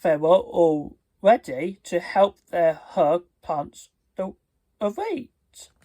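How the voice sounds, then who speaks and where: A husky voice reads a children's storybook aloud in a halting, careful way. The pitch moves around a lot while the pace stays on the slow side. The delivery stumbles now and then. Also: lively intonation, mellow, no echo. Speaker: storybook reader and video host. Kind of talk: read-aloud storybook narration.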